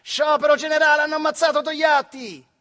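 A man plays an excited factory crier in a stage monologue, yelling urgently in a very high voice. The accent is central Italian, from the Marche, and the delivery is loud and alarmed. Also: a little fast.

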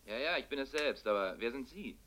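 An actor playing an eager, wheedling newspaper reporter, probing and stalling with emphasis, in a German radio crime drama. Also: male voice, mid-range voice, animated, brisk, heavy background noise, lightly acted. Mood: stalling, coaxing.

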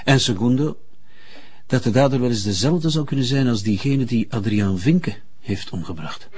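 An actor playing a methodical Flemish forensic investigator in a drama, reporting in a formal, measured way. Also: male voice, medium pitch, lightly acted. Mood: matter-of-fact. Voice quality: husky. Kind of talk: drama acting.

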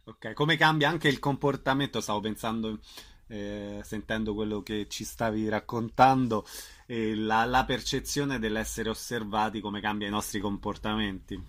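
A man's voice: fairly low pitch, fairly even intonation, moderate pace, fairly bright tone. Man hosting a radio interview.

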